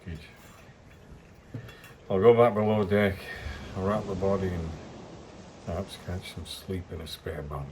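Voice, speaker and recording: A man's voice: sits low, steady tone, measured pace, long pauses, darkish tone, slight background noise, poor audio. A man playing a stern sea captain in a radio play, with a grave, commanding manner. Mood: calm, commanding. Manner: grave, authoritative.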